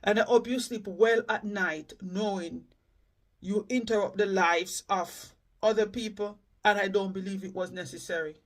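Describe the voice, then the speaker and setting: A man's voice: sits high, steady tone, normal speed. A man from the Caribbean hosting a reaction channel, giving indignant, emphatic commentary.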